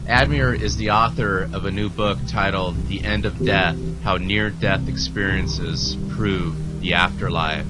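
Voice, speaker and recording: A man with a very deep voice hosts the show in a steady, polished radio manner. Loud music plays behind him. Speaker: podcast host. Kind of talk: scripted intro and interview questions.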